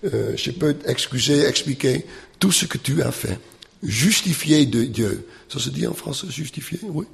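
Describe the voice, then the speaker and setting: A man who is not a native speaker, giving a Bible lecture in a slow, halting way, often checking in with "hein?" and filling pauses with "euh". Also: medium pitch, lively intonation, creaky, long reverb tail. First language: not French.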